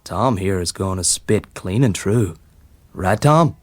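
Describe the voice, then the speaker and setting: A man voicing a rough, folksy fellow, opinionated and bitter but kindly, in colloquial rustic speech. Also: low voice, lively intonation, slow, no echo, heavily acted. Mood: protective, hearty.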